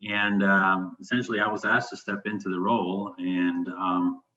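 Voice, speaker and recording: This is a man from Sweden with a fairly low voice. He answers as an interview guest in a conversational way, hesitating as he thinks aloud. The recording is somewhat dull and lo-fi. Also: level tone, normal speed, sharp tone. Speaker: interview guest, business continuity manager. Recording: dull, lo-fi sound.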